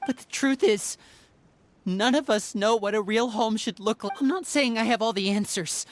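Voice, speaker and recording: Woman doing a earnest young pleader, slightly high voice. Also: normal speed, lightly acted. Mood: pleading. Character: pleading young member of the house. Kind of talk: game voice acting.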